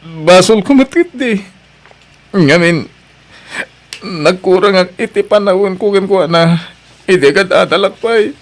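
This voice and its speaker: A man plays a gentle young husband and student in a radio-style drama. He speaks a little high, earnestly and with a pleading, dutiful tone. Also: a little slow, long pauses. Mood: hurt, pleading. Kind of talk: Ilocano audio drama acting.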